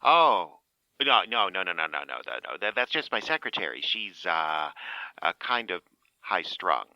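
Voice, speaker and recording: A man's voice: big pitch swings, moderate pace, bright, forceful tone, no room sound, exaggerated voice, poor audio. A man playing a flustered, stammering insurance man. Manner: stammering, flustered, jumpy pitch. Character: nervous, stammering male insurance man, smitten. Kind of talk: amateur radio play acting.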